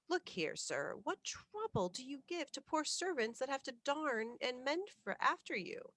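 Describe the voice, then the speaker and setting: A woman reader puts on an older serving woman from an old storybook tale. She is fussy and gossipy, quick to grumble, with homely, unschooled country phrasing. The sound is dry, without room echo. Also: sits high, very animated, fairly bright tone, voice pushed up, heavily acted. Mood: irritable, scolding. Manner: irritable, homely, rustic uneducated phrasing. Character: fussy, gossipy older female household servant. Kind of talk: storybook character voice in a narrated reading.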